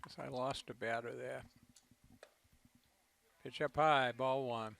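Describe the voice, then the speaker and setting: A man calling a local baseball game as play-by-play commentator, relaxed and conversational, with a voice that is starting to go. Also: takes it slowly, vocal fry. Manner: low-key, conversational calls. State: losing his voice.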